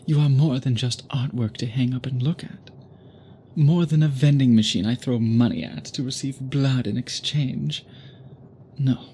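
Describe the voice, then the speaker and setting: Slow-speaking, courtly vampire suitor played by a man. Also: mid-range voice, mellow, slight background noise, lightly acted. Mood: intense, reassuring. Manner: formal, flowery, measured speech with deliberate pauses. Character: obsessed, courtly gentleman vampire suitor, possessive yet restrained. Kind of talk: intimate scripted ASMR roleplay, addressed to listener.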